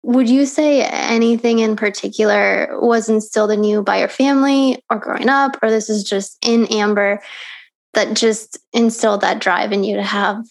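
A woman hosts an interview in a casual, upbeat way. Her voice has a gravelly, creaky edge. She tosses in quick asides between her questions. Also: fairly high pitch, steady tone. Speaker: interviewer, host.

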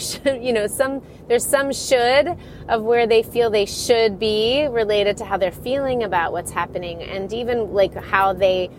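A middle-aged woman hosting her own podcast, stuffy from a cold, talking in a rambling, chatty way and often laughing. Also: medium pitch. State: congested from a cold. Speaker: podcast host and divorce coach. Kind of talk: casual solo podcast talk. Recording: audible background noise.

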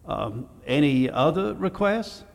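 A man's voice: on the high side, big pitch swings, slow pace, vocal fry, exaggerated voice. A man playing a fussy, flustered senator chairing a hearing in a podcast drama, formal yet hesitant. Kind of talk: naturalistic fiction podcast acting. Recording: long echo of a large hearing hall.

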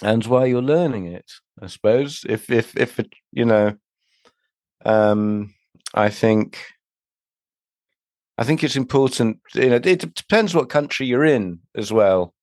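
A man answering a question about languages hesitantly, with many pauses and restarts. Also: mid-range voice, a little mellow, no echo. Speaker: interviewee.

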